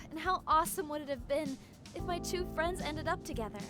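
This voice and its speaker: A woman's voice: high voice, a little fast. A woman playing a cheerful, friendly young schoolgirl with some regrets in an anime-style visual novel game. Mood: wistful, excited. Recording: loud music behind the voice.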